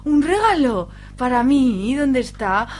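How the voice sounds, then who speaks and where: High-voiced doting young girlfriend, acted by a woman. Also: big pitch swings, unhurried. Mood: smitten. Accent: Spain. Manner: doting, melodramatic. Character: affectionate, excitable young girlfriend. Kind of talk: amateur comic radio soap acting. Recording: clear background noise with hum.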